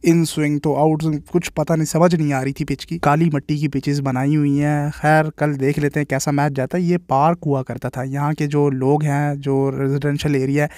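A man's voice: a little high, little pitch movement, a little fast, hardly any pauses, darkish tone, no room sound, clean studio sound. A man giving chatty, opinionated vlog commentary while walking outdoors and filming himself. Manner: chatty, opinionated, keeps saying "bhai". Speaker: cricket vlogger. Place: outdoors, walking along streets and parks.

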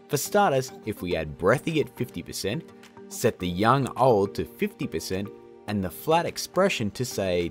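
Man, thirties, tutorial host, lowish pitch, animated intonation, casual and jokey, light background music. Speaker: host of a video tutorial show. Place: small indoor studio room. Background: faint music.

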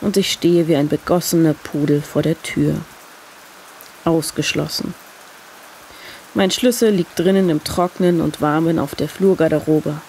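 A woman's voice: low-pitched, a little slow, poor audio. A woman narrating a scripted first-person short story as a reader. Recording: steady rain noise behind voice. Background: rain sound effect.

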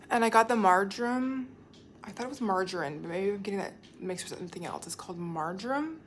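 A woman's voice: medium pitch, slight background noise. Chatty woman vlogging from her car. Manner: chatty, lists items aloud, thinks out loud. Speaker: home cooking vlogger. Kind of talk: casual talk to camera. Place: parked car, then home kitchen. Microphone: phone camera held at arm's length.

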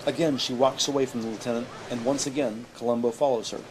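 Male audio drama narrator, mid-pitched. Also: steady tone, quick pace. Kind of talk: scripted audio drama narration in present tense. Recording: vehicle and ambient noise behind.

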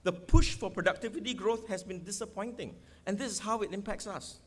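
A middle-aged man with a Singaporean accent, his voice pitched high for a man, speaks forcefully into a podium microphone on an outdoor stadium stage. He throws rhetorical questions at the crowd and hammers his points home as a political candidate. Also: fairly quick. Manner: rhetorical questions, emphatic, gesturing. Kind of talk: election rally speech.

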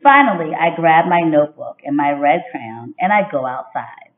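A woman's voice: low voice, moderate pace. A moody little girl from a picture book, acted out by a woman tells her story with dramatic emphasis. The intonation swings widely. The sound is roomy and a little dull. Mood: exasperated, dramatic. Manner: expressive, emphatic storybook delivery. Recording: dull, roomy home webcam recording.

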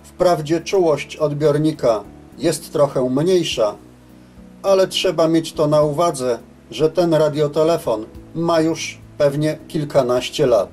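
A man giving a scripted technical narration as a reviewer, in a measured, matter-of-fact way. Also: on the high side, darkish tone, soft background music. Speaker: reviewer of CB radios.

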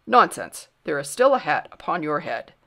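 An imperious king out of a storybook, acted out by a woman. He is loud and indignant, barking commands with a gravelly edge. His pitch swings widely as he demands to be obeyed. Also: big pitch swings, moderate pace, exaggerated voice. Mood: outraged. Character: pompous, furious king demanding obedience. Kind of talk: dramatic storybook character voice.

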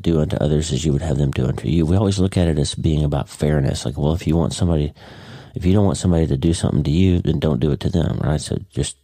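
A man's voice: extremely low pitch, dry recording. A man hosting his own podcast in a casual spoken monologue, a little congested, chatty and easygoing, recorded at home early in the morning. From United States. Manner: warm, chatty, leaves in his own slips. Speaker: podcast host.

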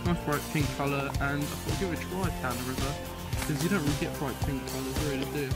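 A man's voice: fairly high pitch, steady tone, fast, over loud music. A young man, talking casually to viewers while fishing on a riverbank, filmed on a handheld camera at arm's length. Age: young adult. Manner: chatty, rambling, addresses viewers as guys. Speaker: fishing vlogger. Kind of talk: casual outdoor vlog. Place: riverbank outdoors in winter.

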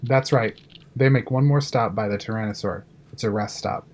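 A man's voice: on the low side, normal speed, a little mellow. A man playing a businesslike control room engineer in a fan audio drama.

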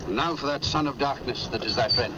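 An actor playing a blustering, fair-minded old whaling captain with brusque, archaic Quaker speech in a vintage drama. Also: male voice, medium pitch, a little fast, lightly acted. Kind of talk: vintage film drama acting. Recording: noisy vintage film soundtrack, harbour ambience.

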